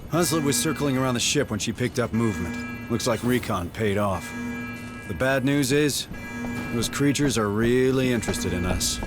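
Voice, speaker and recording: A man's voice: mid-range voice, expressive, moderate pace, music in the background, close to an everyday voice. An actor playing a middle-aged Marine sergeant in a game, blunt and sardonic, carrying guilt as a father. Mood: grim resolve. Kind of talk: cinematic game voice acting.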